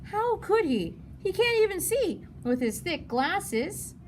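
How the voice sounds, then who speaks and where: A woman's voice: very high-pitched, very animated, slow pace, pitched above the performer's own voice, exaggerated voice. A woman reading a children's novel, voicing an earnest, curious ten-year-old girl. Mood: worried, questioning. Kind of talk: children's novel read-aloud. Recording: noticeable background noise.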